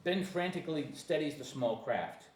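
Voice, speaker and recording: A man narrates screenplay stage directions in a lively, swinging delivery. He trips on the odd word. The room is echoey and the mic sits at a distance, with faint noise. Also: a little high, moderate pace. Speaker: narrator at a screenplay table reading.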